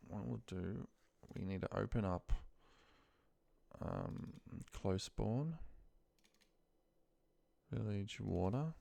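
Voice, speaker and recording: A man's voice: low-pitched, creaky, a little mellow. A man giving a casual, unscripted screen tutorial as a trainer, thinking aloud informally and trailing into mumbled asides, close to the mic. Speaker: trainer demonstrating a data task.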